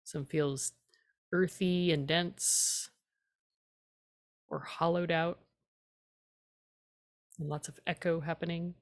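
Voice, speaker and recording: A woman leading a guided meditation as a teacher, speaking in a calm, unhurried way with gentle instruction and long pauses. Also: on the low side.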